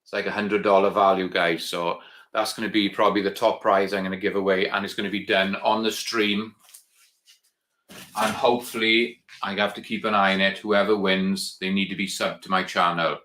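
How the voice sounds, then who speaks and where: Deep-voiced Welsh man in an echoey room. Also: level tone, moderate pace, sharp tone. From Wales. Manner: chatty, rambling, addresses viewers as guys. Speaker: channel host. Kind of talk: casual live stream chat.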